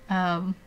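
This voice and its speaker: A woman's voice: on the low side, a little hiss or hum, low-quality recording. Young woman, art livestream host, chatty and giggly, rambles between steps, desk mic at home. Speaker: art streamer. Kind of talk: casual live-stream tutorial chat.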